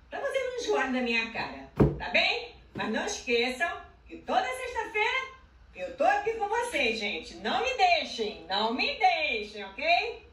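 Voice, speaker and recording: A woman's voice: sits high, bright, forceful tone. Chatty Brazilian woman in a roomy kitchen. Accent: Brazilian Portuguese. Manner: affectionate, chatty, addresses viewers directly. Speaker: juice channel host. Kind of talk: casual cooking vlog to followers. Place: home kitchen, indoors. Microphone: camera mic at a little distance. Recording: roomy kitchen, mic a bit far.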